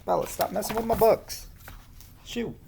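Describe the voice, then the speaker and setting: A man reading a storybook aloud to young listeners, expressive, with casual asides spoken straight to them. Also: high-pitched, moderate pace, creaky, a little hiss or hum.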